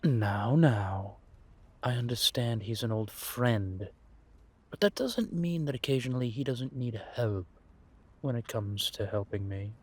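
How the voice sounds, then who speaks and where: A man playing a centuries-old vampire spymaster in a roleplay audio drama, patient and wry, speaking in measured phrases with deliberate pauses. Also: medium pitch, expressive, husky. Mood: sly, explaining.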